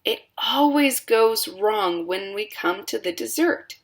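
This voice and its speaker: A woman's voice: medium pitch, lively intonation, takes it slowly, voice pushed up. A woman voicing an eccentric male candy inventor who speaks in a rueful, matter-of-fact way.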